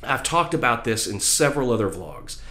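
Male, middle-aged, medium pitch. Emphatic career-advice vlogger speaking to camera in an echoey small room. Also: sing-song, moderate pace, pushed and bright. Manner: emphatic, repeats phrases for stress. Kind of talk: motivational talk to camera. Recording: roomy, echoey indoor sound.

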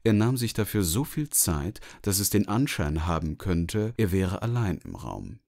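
A man's voice: low voice, very animated, a little slow, vocal fry, a little mellow. A man giving scripted literary narration as the narrator of a story.

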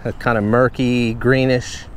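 A man's voice: medium pitch, unhurried, slight background noise. A young man chatting casually while fishing outdoors and talking to the fish he catches. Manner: excited and teasing, talks to the fish. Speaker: fishing vlogger. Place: outdoors at a pond and on a small boat on a lake.

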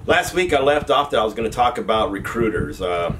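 A man's voice: lowish voice, sing-song, a little fast. A man in his fifties with a cold, telling army stories in a rambling, conversational way, his voice nasal and stuffy. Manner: rambling, conversational, self-correcting. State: recovering from a cold. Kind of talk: casual storytelling to webcam. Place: small room at home, indoors. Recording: distant mic with background hiss.

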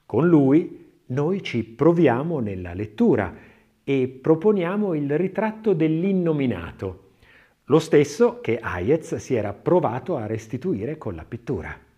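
A man narrating a scripted audiobook in an expressive, literary, dramatic reading style. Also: fairly high pitch, unhurried, dull audio.

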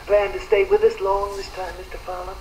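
An actor playing an insistent, knowing hotel clerk in an old radio drama, with an insinuating manner, heard from a cassette speaker. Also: male voice, high voice, completely flat delivery, fairly quick, a little mellow, slight background noise, natural voice, poor audio. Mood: eerily insistent.

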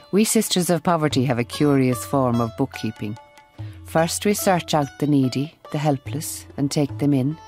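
Low-voiced Irish Mother Superior. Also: dark tone. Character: shrewd, devout Irish Mother Superior, gently firm. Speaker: narrator and character actress. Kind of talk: radio drama narration and acting.

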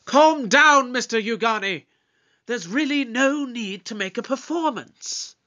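A man playing a shrewd, authoritative detective in an audio drama, with a pompous, deliberate, probing delivery. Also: extremely high pitch, animated, sharp tone, heavily acted. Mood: calm, interrogating. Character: shrewd, authoritative male time-travelling detective, pompous and suspicious.

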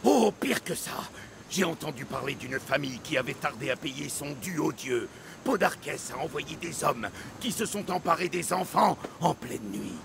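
An actor playing an excitable old Greek sailor and storyteller in a theatrical, expansive video game style. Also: male voice, fairly high pitch, moderate pace, vocal fry, background noise. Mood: animated, grave. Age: older. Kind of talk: dramatic video game acting.

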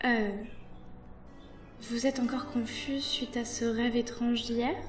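A woman's voice: fairly high pitch, flat delivery, takes it slowly, over music. A woman playing a devoted, anxious elf servant in a fantasy audio drama, earnest, deferential and pleading. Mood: worried, pleading. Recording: roomy echo, slightly distant microphone.

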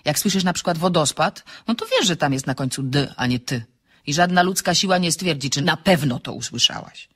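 A woman playing an inquisitive, insistent amateur sleuth in an audio drama, slightly husky, questioning and explaining briskly. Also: low voice, lively intonation.

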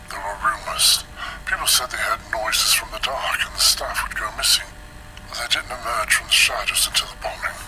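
An actor voicing a grim scientist confessing in a game audio log, in a hushed whisper. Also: male voice, mid-range voice, lightly acted, low-quality recording.

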